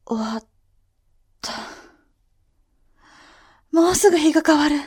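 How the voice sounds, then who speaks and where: A woman's voice: high voice, lively intonation, takes it slowly, darkish tone. A woman playing a proud, shrewd noble elder sister in a voice drama, haughty, theatrical and formal. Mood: strained triumph. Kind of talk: voice drama acting.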